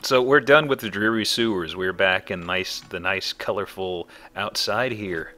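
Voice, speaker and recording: A man's voice: on the low side, unhurried, bright, forceful tone. A man hosting a let's play with casual, folksy, rambling commentary on the game. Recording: commentary over faint game background noise.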